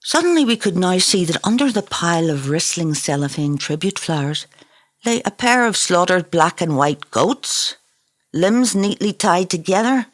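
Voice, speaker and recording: A woman author reading her own novel aloud in an expressive, dramatic, incantatory style. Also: fairly low pitch. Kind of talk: expressive literary narration.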